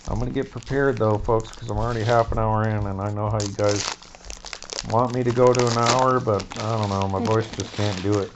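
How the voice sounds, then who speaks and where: A man's voice: fairly low pitch, fairly even intonation, a little fast, noisy background. A chatty man hosting a card-opening video, talking to viewers with a stuffy nose. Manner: chatty, addresses viewers as folks. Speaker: card-opening vlog host. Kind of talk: casual chat. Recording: dull lo-fi recording.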